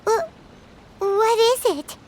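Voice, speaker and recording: A woman's voice: very high-pitched, very animated, takes it slowly, a little mellow, slight background noise, exaggerated voice. A woman voicing a small childlike fairy companion in a video game, eager, inquisitive and exclamatory. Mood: curious. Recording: music behind the voice.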